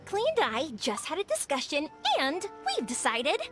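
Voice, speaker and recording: A woman playing a friendly, upbeat young woman shopkeeper in an English video game, bubbly and expressive. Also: high voice, normal speed, music in the background. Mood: playful.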